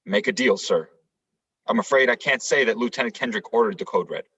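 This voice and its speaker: Distant, husky man as a stoic Marine corporal. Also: lowish voice, fairly quick, bright, forceful tone. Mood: respectful, resolute. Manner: clipped, formal military deference. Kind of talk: stage play read-through. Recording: distant, slightly dull microphone.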